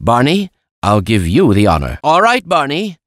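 Fussy absent-minded old scientist for a video game, voice swings all over, close dry sound. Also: male voice, medium pitch, huge pitch swings, moderate pace, a bit bright, dry recording. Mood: fussy, genial. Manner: fussy, precise, old-fashioned exclamations. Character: kindly, absent-minded older male scientist, excitable and fussy. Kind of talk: video game character acting.

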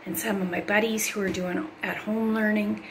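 A middle-aged woman talks in a chatty, upbeat way straight to her viewers, a teacher giving her students a tour of her home. Her mid-pitched voice has a gravelly fry to it. It is recorded on a handheld phone in small rooms, with faint household noise behind her. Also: flat delivery, moderate pace. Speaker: music teacher. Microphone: phone camera held by hand.

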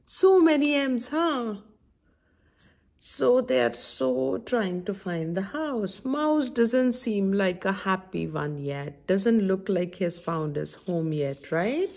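A woman's voice: medium pitch, animated, slow pace, vocal fry, a little mellow. A middle-aged woman reading a picture book aloud to young children, expressively and with lots of questions put straight to them. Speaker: children's story time host. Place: indoor room at home by a window.

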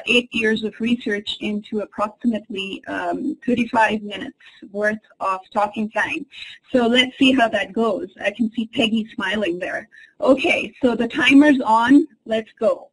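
A woman whose first language is Urdu, an associate professor, presenting a webinar lecture in a measured, explanatory way over a computer microphone. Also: fairly high pitch, breathy edge, rough recording. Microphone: computer microphone in an online webinar.

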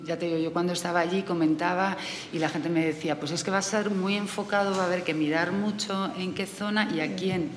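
A middle-aged woman speaking on a conference panel about aid work, hesitant and pausing often. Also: lowish voice, steady tone. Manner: hesitant, many fillers and restarts. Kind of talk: panel discussion. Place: conference hall, indoors. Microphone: desk microphone. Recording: reverberant hall, faint room noise.

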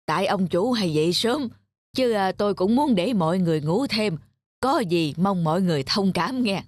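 A man's voice: sits high, lively intonation, moderate pace, dry recording, clean studio sound. A man voicing an anxious, aging cassette radio in a cartoon, earnest, polite and plaintive. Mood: apologetic. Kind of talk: dubbed cartoon dialogue.

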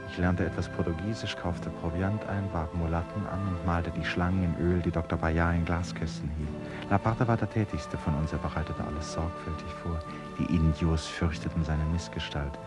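An actor playing a reflective painter in a German radio play, speaking in a measured, pensive way. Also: male voice, low voice, fairly even intonation, loud music behind the voice, close to an everyday voice. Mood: pensive narration. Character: reflective male painter recalling a jungle expedition. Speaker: radio play narrator and lead. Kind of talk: 1950s-70s German radio play acting, first-person narration and dialogue.

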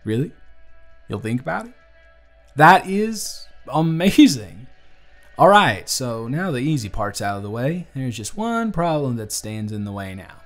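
Man playing a lovestruck young bartender, Southern US accent, earnest and blunt, mid-pitched, intimate audio roleplay, music underneath. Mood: delighted. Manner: earnest, conversational, sweet-talking. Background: acoustic music.

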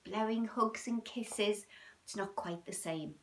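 A British woman in her fifties hosting a children's storytime, talking in an animated, sing-song way with frequent tag questions. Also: medium pitch, slow pace. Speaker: children's storytime and yoga host. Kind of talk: chatty, child-directed livestream talk. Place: small room at home, indoors.

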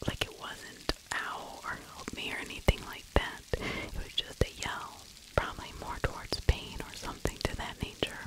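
A middle-aged woman whispering true crime ASMR close to the microphone, breathy, with opinionated conversational asides. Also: poor audio. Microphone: close microphone with furry windscreen.

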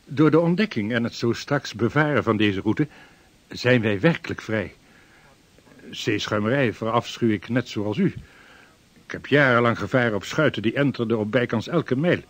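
Male actor as ambitious seasoned explorer, husky mid-pitched voice, respectful and persuasive, formal radio drama delivery. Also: normal speed, lightly acted. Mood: earnest, persuasive. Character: earnest, ambitious veteran seafarer and explorer. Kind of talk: Dutch radio drama acting.

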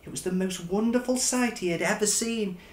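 A man, reading a children's storybook aloud with dramatic, animated expression. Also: high voice, a little fast, roomy sound. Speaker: children's author reading his own book. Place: furnished living room, indoors.

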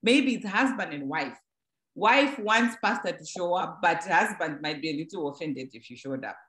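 A woman's voice: fairly low pitch, animated, moderate pace, a bit bright. A woman moderating a church discussion, speaking reflectively in a conversational, teaching way. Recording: echoey room.

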